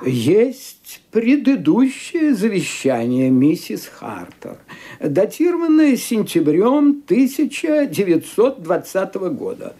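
A stuffy careful lawyer in a radio play, deep mellow voice, takes his time. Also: huge pitch swings, breathy edge, heavily acted. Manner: measured, hesitant, formal questioning. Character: dry, cautious middle-aged male lawyer. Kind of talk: Soviet-era radio play acting.

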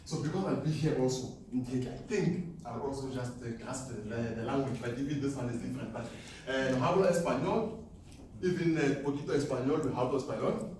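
A man's voice: mid-range voice, a little fast, distant mic, slight background noise. Boastful young man speaking in an echoey classroom. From South Africa. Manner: animated, boastful, laughs often. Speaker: forex trading speaker. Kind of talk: live seminar talk to students. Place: classroom with seated audience. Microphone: far camera, no mic.

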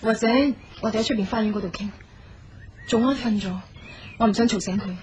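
A woman's voice: mid-range voice, fairly even intonation, brisk, a little mellow, a little hiss or hum, natural voice. A woman playing a guarded, proud daughter in a radio drama. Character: guarded, proud woman hurt by her father. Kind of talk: Cantonese radio drama acting. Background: music.

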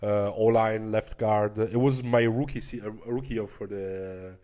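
A man with a fairly low voice and a strong Italian accent talks casually in an interview. His English is halting as a native Italian speaker, and he often searches for words. He holds the microphone in his hand. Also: steady tone, warm tone. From Milan, Italy. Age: 30s. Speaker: podcast guest, American football player. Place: small furnished room indoors. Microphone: handheld microphone.

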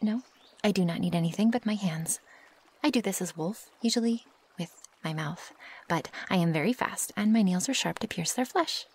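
A woman playing a naive, impatient young werewolf in an ASMR roleplay, speaking softly and breathily in stilted, formal phrasing. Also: mid-range voice, normal speed. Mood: earnest, curious. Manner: stilted formal phrasing without contractions, often breathy or near-whispered. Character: feral young female werewolf, naive, curious, impatient, possessive. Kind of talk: ASMR roleplay, intimate one-sided dialogue.

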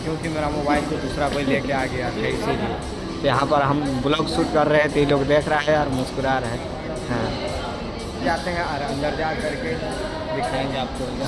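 Young Jharkhand man vlogging over loud music. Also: fairly high pitch, low-quality recording. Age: 20s. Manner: addresses viewers directly while walking around. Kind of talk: casual walk-through vlog narration. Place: large indoor mall and cinema lobby. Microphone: phone at arm's length. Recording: loud music over phone vlog audio.

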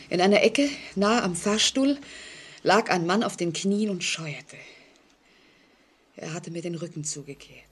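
An actress playing a frightened woman in an old radio play, speaking anxiously and haltingly with dramatic intensity. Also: fairly low pitch, slight background noise, close to an everyday voice. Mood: anxious, confiding. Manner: anxious, dramatic, halting, sometimes dropping to a whisper. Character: frightened single woman telling police of a stalking convict. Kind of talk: 1940s German radio play acting.

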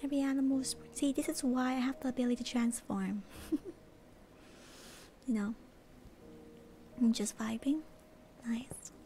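A young woman streamer with a slight accent, chatting with viewers in a soft, airy ASMR whisper, relaxed and rambling. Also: high voice, normal speed, pauses often, slight background noise. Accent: slight non-American accent. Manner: relaxed, rambling, reads chat aloud. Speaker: VTuber streamer.

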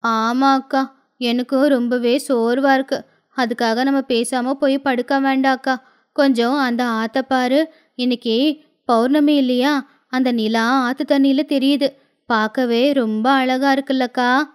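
A small obedient boy, voiced by a woman in a cartoon tale, high in pitch. He talks slowly and gently, as a loving little brother. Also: steady tone, clean tone. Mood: tired, tender.

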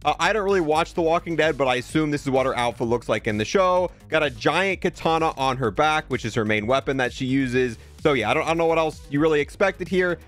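A man's voice: fairly high pitch, fairly bright tone. A man giving casual, conversational commentary as the host of a gaming review, thinking aloud as he goes. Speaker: gaming video host reviewing a cosmetic bundle. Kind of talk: casual video game commentary.

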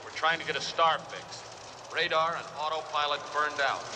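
A man's voice: on the high side, fairly even intonation, moderate pace, natural voice. A man acting a young military pilot under strain in a vintage suspense drama, speaking tersely and urgently. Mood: tense, formal. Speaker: actor playing an aircrew member. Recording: noisy vintage drama soundtrack, engine drone.

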